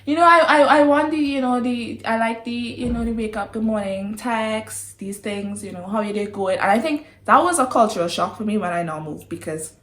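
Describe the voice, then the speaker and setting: A woman's voice: on the high side, moderate pace, hardly any pauses, a bit bright. Chatty Trinidadian woman in a roomy space. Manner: chatty, giggly, breaks off with sighs and apologies. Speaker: vlogger. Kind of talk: casual chat to camera. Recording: roomy echo.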